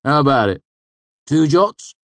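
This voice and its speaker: A man's voice: fairly high pitch, big pitch swings, unhurried, no echo, voice pushed up. A man voicing an eccentric older male student in a storybook, blunt, wry and given to teasing and grumbling. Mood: playful wagering.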